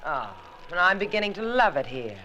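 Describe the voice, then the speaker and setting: A woman's voice: on the low side, sing-song, brisk, pushed and bright. A woman playing a defiant, tough, sarcastic adventuress in a hardboiled old radio drama, taunting her captor. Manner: sardonic, taunting. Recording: old radio recording with faint noise.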